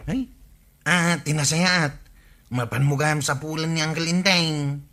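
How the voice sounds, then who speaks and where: A man's voice: fairly high pitch, fairly even intonation, unhurried, bright, forceful tone. A man with an Ilocano accent playing a villager chatting with a companion in a radio comedy drama. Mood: casual.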